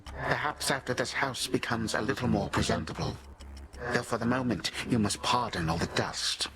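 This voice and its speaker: A man's voice: medium pitch, animated, moderate pace, noisy background, lightly acted. An actor playing an ancient, suave Titan grandfather in a video game, courtly, condescending and menacing in his delivery. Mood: mocking courtesy. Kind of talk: theatrical video game villain acting.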